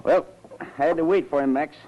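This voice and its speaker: An actor playing a gruff, slow-witted henchman with slangy tough-guy talk, in 1940s radio style on a muffled old broadcast. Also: on the high side, mellow, a little hiss or hum, lightly acted. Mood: sheepish, defensive.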